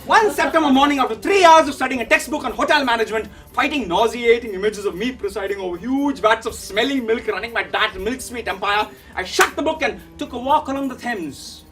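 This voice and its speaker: Man acting a high-voiced, theatrical young Indian man. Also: fairly quick, few pauses, recorded from a distance, soft background music. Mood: reflective. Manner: theatrical, declamatory, swinging from hushed to shouting. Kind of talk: dramatic monologue storytelling.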